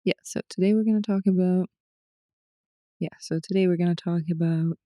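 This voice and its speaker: A woman with a fairly low voice hosts a casual podcast chat. She is recorded dry and close, with no room sound. She often hesitates and restarts her sentences, and laughs easily. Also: fairly even intonation, brisk, mellow, dry recording. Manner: hesitant, laughing, searching for words. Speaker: podcast host. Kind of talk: casual conversation.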